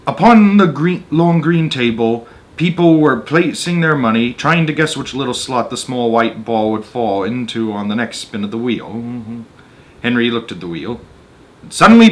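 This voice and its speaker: A man's voice: medium pitch, fairly bright tone. A man reading a children's story aloud as narrator, stepping out for casual, conversational comments on the text. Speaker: narrator and host of a story-time show.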